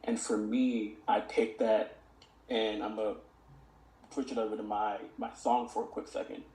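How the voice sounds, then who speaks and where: A man's voice: on the low side, little pitch movement, moderate pace, a little mellow. A young man speaking earnestly as a podcast guest, reflecting on mentoring kids and reciting his own lyrics. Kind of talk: reflective conversational interview. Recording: echoey room.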